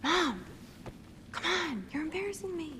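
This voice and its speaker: An actress playing a headstrong teenage daughter of the gods who talks in a defiant, eye-rolling way. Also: female voice, very high-pitched, big pitch swings, unhurried, sharp tone, a little hiss or hum, heavily acted.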